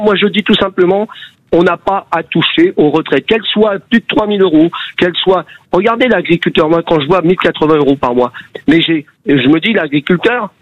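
A man's voice: high-pitched, no echo. Older guy from around paris calling in, worked up, hunting for words, dull phone sound. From Paris region. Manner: indignant, searches for words. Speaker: caller. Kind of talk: call-in radio debate. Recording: muffled phone line.

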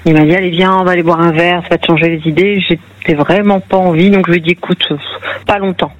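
A woman's voice: fairly low pitch, steady tone, fast talker. A middle-aged woman from northern France telling her story on a radio phone-in, acting out the dialogue as she goes. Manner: chatty, tells story with quoted dialogue. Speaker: caller. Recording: muffled phone-in line on radio.

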